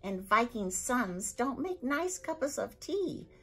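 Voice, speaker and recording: A woman voicing a loud, blustering male Viking chief who roars his lines. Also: medium pitch, very animated, slow pace, breathy edge, pitched above the performer's own voice, exaggerated voice. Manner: shouting, indignant bluster.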